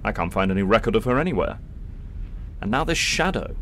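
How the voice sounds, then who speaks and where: A defiant starship captain, played by a man with a fairly low voice, brooding over every grievance. It is science-fiction drama dialogue, recorded with faint noise behind it. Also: expressive, fairly quick, a bit bright. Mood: agitated.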